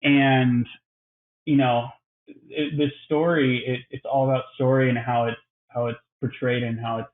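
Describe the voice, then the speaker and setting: A man's voice: mid-range voice, little pitch movement, measured pace. A man co-hosting a casual gaming podcast who talks in an easygoing, rambling way and laughs often. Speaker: podcast co-host. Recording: phone-like lo-fi line.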